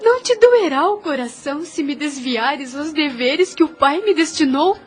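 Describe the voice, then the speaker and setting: A woman's voice: high-pitched, lively intonation, moderate pace, soft background music. A Brazilian woman playing a devout, self-denying young woman in an audio drama, earnest and solemn, with formal phrasing. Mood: tearful, solemn. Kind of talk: audio drama acting, formal old-fashioned speech.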